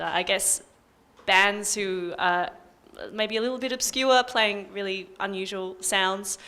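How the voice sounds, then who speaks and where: Bright, lowish-voiced woman. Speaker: radio presenter on a workshop panel. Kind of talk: casual panel advice. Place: live panel workshop indoors.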